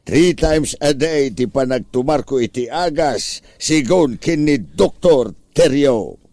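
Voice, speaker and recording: A man's voice: mid-range voice, takes it slowly, warm tone, no room sound. A man with an Ilocano accent playing a lazy, scheming older uncle who whines about being sick in a comedy drama. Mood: sly, stubborn. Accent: Ilocano, northern Philippines.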